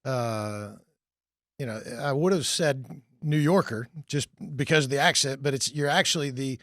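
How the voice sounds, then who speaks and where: Pembawa acara podcast pria, suara kering tanpa gema, pertanyaan bertele-tele. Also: male voice, mid-range voice, moderate pace, fairly bright tone, no room sound, clean studio sound. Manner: rambling, many restarts and fillers when asking questions. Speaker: podcast host. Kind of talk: scripted intro narration and casual interview.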